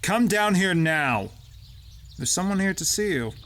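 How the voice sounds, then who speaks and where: A man's voice: high voice, lively intonation, measured pace, background noise. A man playing a smug, scheming boss in a drama, mocking and politely menacing in his delivery. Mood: stern. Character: smug, scheming male boss who manipulates and blackmails. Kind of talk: acted dramatic dialogue.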